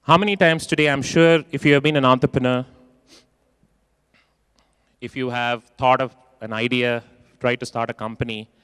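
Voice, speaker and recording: A man giving a keynote talk in a large conference hall, expressive and acting out quoted voices, repeating phrases for emphasis. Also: medium pitch, moderate pace, long pauses, clear, resonant tone, studio quality. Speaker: keynote speaker.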